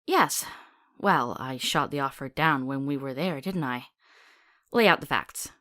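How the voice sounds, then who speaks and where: A woman's voice: a bass voice, measured pace, fairly bright tone. An actress playing a sardonic, practical woman who travels as a companion in a fantasy visual novel, with a wry manner.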